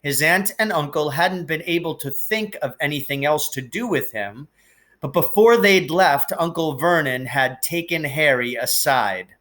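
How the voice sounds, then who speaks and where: A man reading a children's novel aloud as a teacher would, with expressive narration and deliberate, emphatic phrasing. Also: a little high.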